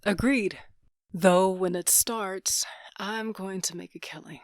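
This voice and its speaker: A woman's voice: mid-range voice, slow pace, natural voice. A woman playing a roguish, affectionate smuggler with a sly, drawling manner in a sci-fi audio drama. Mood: sly, fond.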